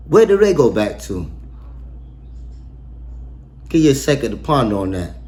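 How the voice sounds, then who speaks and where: A man reading scripture verse by verse and explaining it in casual, slangy, emphatic talk with rhetorical questions. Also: medium pitch. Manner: asks rhetorical questions and pauses for effect. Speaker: online bible teacher. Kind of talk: casual verse-by-verse bible breakdown.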